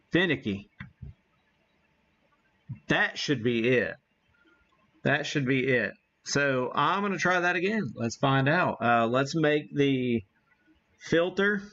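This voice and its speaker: Muffled, lo-fi stream audio carries an American man with a middling-low voice. He talks slowly and casually, thinking out loud as he hosts a live demonstration. His phrasing is folksy and homespun. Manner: folksy, mild homespun exclamations. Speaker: livestream host demonstrating ham radio gear.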